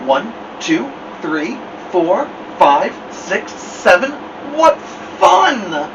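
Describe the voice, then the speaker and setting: A man reading a children's picture book aloud as a storytime host, theatrically, with drawn-out words and dramatic pauses. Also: sits high, very animated. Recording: distant mic, dull sound, noisy background hum.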